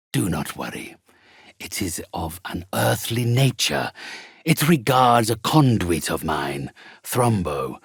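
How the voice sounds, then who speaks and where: A haughty necromancer for a video game, low and husky with heavy creak. He speaks in formal, theatrical phrases with a condescending, menacing air. The sound is close and dry. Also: no echo, full character voice. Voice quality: raspy, gravelly. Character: haughty sinister male necromancer who commands spirits. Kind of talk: video game character acting.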